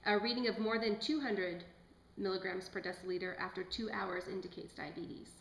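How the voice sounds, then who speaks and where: A woman's voice: mid-range voice, steady tone, normal speed, a little hiss or hum, lightly acted. A woman playing a polite, studious lab technician in an amateur puppet show, with careful, recited delivery. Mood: explanatory. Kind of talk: scripted amateur lecture. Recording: echoey room.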